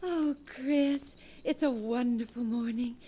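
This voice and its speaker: A woman's voice: fairly high pitch, takes it slowly, muffled recording, very noisy. A swooning young woman. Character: young woman cousin, wistful and swept away. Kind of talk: 1940s radio acting.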